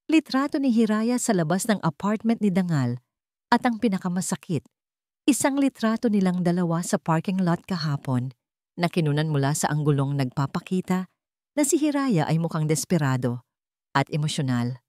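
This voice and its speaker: A woman's voice: lowish voice, very animated, moderate pace, dark tone, no room sound, clean studio sound. A woman with a Filipino accent reading a scripted romantic drama as a story narrator.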